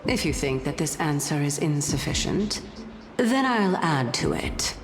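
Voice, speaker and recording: A woman's voice: deep voice, sing-song, measured pace, noisy background, full character voice. A woman playing a coy, condescending lady sage in a video game, speaking in a theatrical, teasing way. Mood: amused, lofty. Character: teasing, enigmatic adult woman sage, leader of seven. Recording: long hall-like echo, music underneath. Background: game music.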